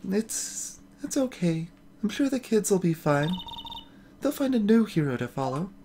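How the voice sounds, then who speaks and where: A woman voicing a meek, apologetic young woman in a video game, soft-spoken and hesitant. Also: mid-range voice, sing-song, a little slow. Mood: resigned, apologetic. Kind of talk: voiced visual novel game dialogue.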